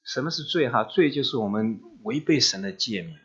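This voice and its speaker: Husky fast-talking male interpreter. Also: medium pitch, muffled recording. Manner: quick, steady relaying of each phrase. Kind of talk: live sermon interpretation.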